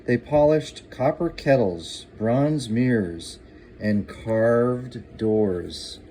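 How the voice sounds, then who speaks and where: An older man reading a rhyming picture book aloud to a young child, in an expressive, deliberate, sing-song way. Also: medium pitch, slight background noise. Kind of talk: expressive storybook narration.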